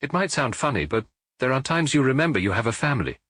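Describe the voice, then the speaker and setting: A man voicing a blunt, unsentimental middle-aged woman who runs a hair salon, speaking in a flat, matter-of-fact way. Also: medium pitch, quick pace, dry recording, clean studio sound, voice pushed up.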